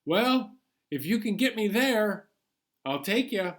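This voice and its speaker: A man reading a picture book aloud as a chatty, bemused New York City taxi driver, colloquial and animated. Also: high-pitched, takes it slowly, voice pushed up, heavily acted. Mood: surprised, questioning. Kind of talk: first-person picture book read-aloud.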